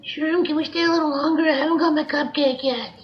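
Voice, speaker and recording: A woman's voice: sits high, steady tone, brisk, highs cut off, natural voice. A woman voicing a small, wiry talking monkey who is cheeky, sarcastic and whiny, in an old-time radio comedy drama.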